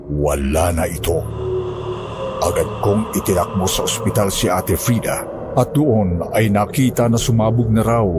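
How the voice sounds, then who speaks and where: A man's voice: on the low side, noisy background. A man narrating a scripted first-person horror story as its storyteller.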